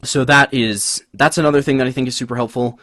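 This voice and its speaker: A man's voice: medium pitch, quick pace, dry recording, clean studio sound. A man co-hosting a podcast, talking casually about acting, rambling with lots of fillers. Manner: relaxed, rambling, many fillers. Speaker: podcast co-host. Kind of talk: casual chat.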